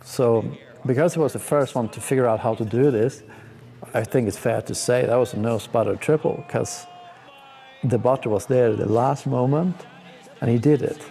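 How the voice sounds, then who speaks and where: A man's voice: medium pitch, moderate pace, vocal fry, mellow, faint music behind. A young man from Sweden, speaking, gives laid-back, slangy, enthusiastic commentary while reacting to ski clips. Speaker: pro skier.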